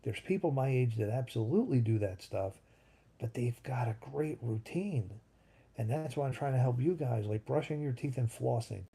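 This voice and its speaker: A man's voice: medium pitch, lively intonation, moderate pace, vocal fry, dark tone, husky. A man in his fifties hosting a live stream, chatting casually and rambling as he answers viewers' comments. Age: 50s. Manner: rambling, thinks aloud, answers chat comments. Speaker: livestream host.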